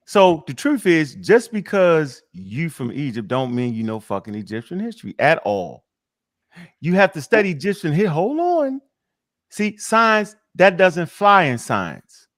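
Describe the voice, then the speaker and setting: A man's voice: on the high side, fairly bright tone. A man hosting a casual talk show. He rants hard, swears a lot and trips over many restarts as he goes. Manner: fiery, profane, rambling with many restarts. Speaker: show host.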